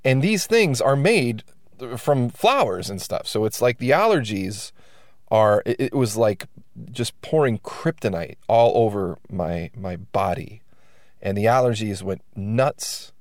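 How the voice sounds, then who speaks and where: A man with a lowish voice hosts his podcast in a loose, self-deprecating ramble, his nose stuffed up from allergies. He's close on a dry mic with no room sound. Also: animated, unhurried, no echo. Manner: wry, self-deprecating, many restarts and fillers. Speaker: podcast host. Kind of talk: casual rambling monologue.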